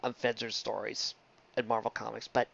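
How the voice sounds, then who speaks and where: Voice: medium pitch, level tone, moderate pace, vocal fry, fairly bright tone. Chatty, rambling reviewer, dull lo-fi sound. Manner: digressive, chatty, stumbles and restarts mid-phrase. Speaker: fan fiction reviewer. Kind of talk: casual rambling review monologue. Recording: dull lo-fi close dry recording.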